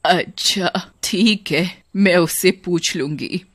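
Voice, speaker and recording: A man voices a calm, loving elderly mother in a ghost tale. The voice sits high for a man and is gentle and coaxing. The sound is dry, with no room around it. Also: slow, no room sound, heavily acted. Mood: agreeable. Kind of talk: dramatised horror storytelling.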